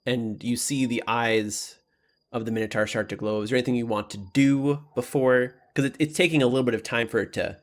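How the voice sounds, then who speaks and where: A man narrating a tabletop game as game master, casual and halting, often correcting himself as he describes things. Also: medium pitch, fairly even intonation.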